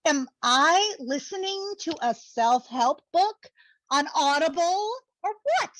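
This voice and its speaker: Casting: actress as dramatic young bestie, needy and blunt, very animated high voice, comedic stage reading. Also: female voice, slow, dry recording, exaggerated voice, rough recording. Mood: blunt, teasing. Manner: exclamatory, dramatic, valley-girl slang. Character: Brooklyn, gushing, needy, blunt young married best friend. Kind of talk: online play reading, comedic dialogue.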